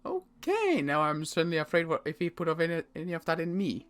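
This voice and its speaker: Bright, animated man as an inquisitive survivor. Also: a little high, fast talker, soft background music. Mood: uneasy. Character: inquisitive, wary male survivor in a zombie world. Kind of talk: improvised roleplay dialogue.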